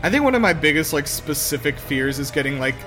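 Guy podcast host reacting to a movie, kinda high voice that swings around, swears a lot, wry. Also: very animated, fast talker, bright, forceful tone, faint music behind. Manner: profane, exclamatory, wry. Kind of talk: casual film-watching commentary.

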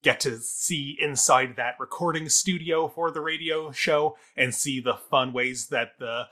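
Bright, forceful-voiced man chatting as co-host. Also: a little high, measured pace. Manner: wordy, discursive, playful. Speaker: talk show co-host and theatre reviewer. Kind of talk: casual conversational review.

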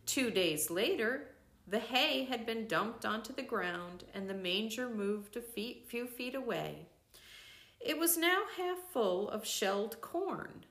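An older woman reading a devotional book aloud in a gentle, measured way, pausing between phrases. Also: a little high, lively intonation. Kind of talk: slow reflective book reading.

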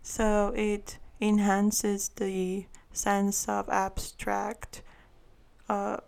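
A woman's voice: mid-range voice, completely flat delivery, drawn-out words, gravelly, darkish tone. A woman in her thirties from Iran, answering interview questions with careful, thoughtful phrasing. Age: 30s. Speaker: guest artist.

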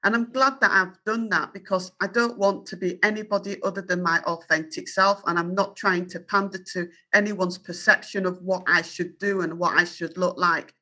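Firm, bright-voiced Yorkshire woman. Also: on the low side, fairly even intonation, moderate pace, few pauses. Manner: earnest, firm and self-assured. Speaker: journalist and guest. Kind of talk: candid interview answers.

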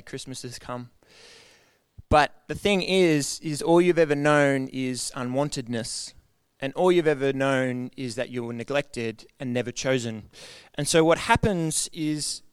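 A man's voice: a little high, clear, resonant tone, no room sound. A man preaching a sermon to his church in an earnest, conversational way, often asking the congregation questions. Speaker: pastor.

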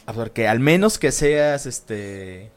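A man's voice: mid-range voice, animated, slow. A man co-hosting a casual chat, slangy and full of swearing and fillers.

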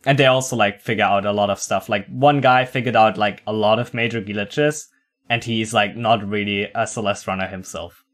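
A man's voice: fairly low pitch, steady tone, moderate pace, fairly bright tone. A man hosting a casual podcast interview, chatty, leaning on "like" and laughing often. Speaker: podcast host.

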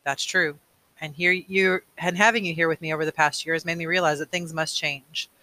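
A man reads as a weary elder mentor in a storybook. He speaks with grave, measured authority in a clear-edged, very bright voice, earnest and firm. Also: fairly high pitch, steady tone. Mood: earnest, reflective.

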